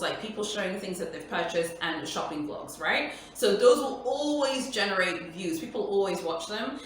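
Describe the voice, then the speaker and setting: A woman's voice: mid-range voice, a bit bright, a little hiss or hum. A woman whose first language is Swahili, hosting a casual Q&A for her viewers in a chatty, emphatic way and repeating her points. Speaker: luxury YouTuber host. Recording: echoey room, voice far from mic.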